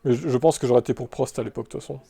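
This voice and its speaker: A French man in his thirties talks loosely to his live chat, full of fillers and offhand asides. His voice is mellow and dark, at a middling pitch. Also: fairly even intonation, very fast, gravelly, husky. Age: 30s. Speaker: streamer. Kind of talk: casual live-stream chat reading viewer comments.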